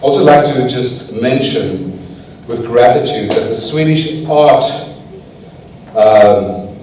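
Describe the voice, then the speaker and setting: A man opening a conference as host, giving brisk, informal welcoming remarks. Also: medium pitch, warm tone, a little hiss or hum. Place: large conference hall. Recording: echoey hall from far off.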